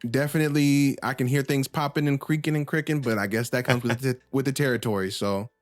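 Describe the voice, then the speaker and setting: A man's voice: mid-range voice, fairly even intonation, fast, no echo, studio quality. A man in his early thirties co-hosting a podcast, chatting casually with wry, joking asides. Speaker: podcast co-host. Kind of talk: casual chat. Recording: music playing behind. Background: background music.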